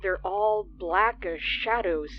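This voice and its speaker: A woman's voice: medium pitch, takes it slowly, lo-fi sound, slight background noise, close to an everyday voice. A woman playing a frightened radio DJ trapped overnight in a horror audio drama, speaking in a shaky, hesitant way. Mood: shaken, dread.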